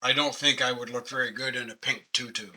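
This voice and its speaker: Bright-voiced older Canadian man teaching. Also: mid-range voice, steady tone, fairly quick. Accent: Canadian English. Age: 60s. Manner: patient, conversational, self-deprecating. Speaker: webinar presenter. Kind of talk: casual online teaching. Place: home office. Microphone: webcam video call.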